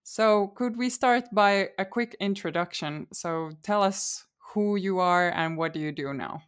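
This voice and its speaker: A woman hosting an interview podcast, reading a scripted welcome and then asking questions in a measured way with some fillers. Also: mid-range voice, clean tone. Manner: measured, asks with fillers and restarts. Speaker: podcast host.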